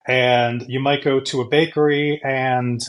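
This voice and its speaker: A man from the United States answers interview questions in a mid-pitched voice. He is measured and articulate. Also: level tone. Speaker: podcast guest.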